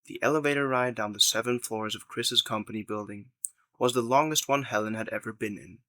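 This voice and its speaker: A man's voice: lowish voice, brisk, bright, forceful tone, dry recording. A man narrating a scripted story in the third person as the narrator of an audio drama.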